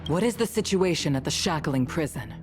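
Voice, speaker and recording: A woman's voice: deep voice, lively intonation, a little fast, over music. A woman playing a serious, worried government official in a game, formal and deferential. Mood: dutiful. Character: formal, worried mature female commission official. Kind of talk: dramatic game voice acting.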